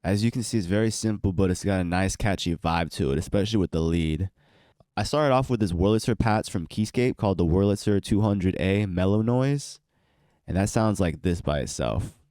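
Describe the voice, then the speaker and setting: A man's voice: low voice, normal speed, over music. A young man with a stuffy, congested voice from allergies, casually walking through how he produced a beat in a home studio. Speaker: beat producer and channel host. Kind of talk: casual tutorial walkthrough.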